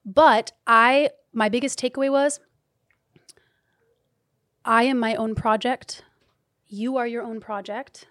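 A woman in her thirties, a guest in a candid podcast conversation, talking animatedly with laughs and the odd curse, and dropping to a hush now and then. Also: on the high side, takes it slowly, long pauses, no echo, clean studio sound. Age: 30s. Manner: animated, swearing, laughing, shifting from loud to hushed. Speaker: guest, community leader and business owner.

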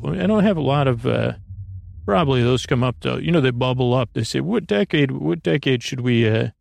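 A man hosting a bedtime podcast in a gravelly voice, rambling and halting, often trailing off mid-thought. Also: medium pitch, no room sound. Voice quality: creaky, gravelly. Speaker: podcast host.

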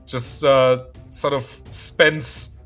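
A man playing a tabletop game, chatting in casual, excitable banter with plenty of swearing. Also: medium pitch, drawn-out words. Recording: music playing under the voice.

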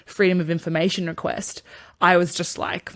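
A woman with an Australian accent co-hosting a podcast, chatting casually, frank and quick to swear. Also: fairly low pitch, little pitch movement. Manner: frank, swears freely, rambling. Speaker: podcast co-host. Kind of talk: casual chat.

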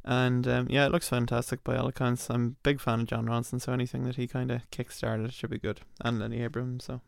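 A man's voice: medium pitch, little pitch movement, fairly quick, gravelly, no echo, clean studio sound. A man chatting casually about films as a podcast co-host. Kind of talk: casual film chat.

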